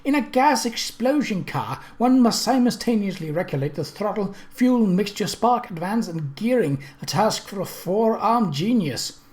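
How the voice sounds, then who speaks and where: A narrator voicing a disdainful, earnest English gentleman motorist who lectures precisely, in a storybook reading. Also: medium pitch, expressive, moderate pace, few pauses, pitched above the performer's own voice, lightly acted. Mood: fervent. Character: tall thin English steam-car driver, disdainful and fervent.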